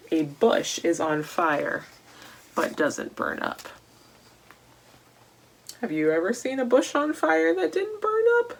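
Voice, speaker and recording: Slow, low-voiced woman reading to children. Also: creaky. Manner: deliberate, rhetorical questions posed to young listeners. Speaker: children's storytime reader at a church. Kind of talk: read-aloud children's Bible story with asides.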